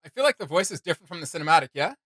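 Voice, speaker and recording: A man's voice: fairly high pitch, animated, rapid-fire, bright, forceful tone, no echo, clean studio sound. A man hosting a reaction video, giving chatty, informal commentary with playful asides. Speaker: reaction show host.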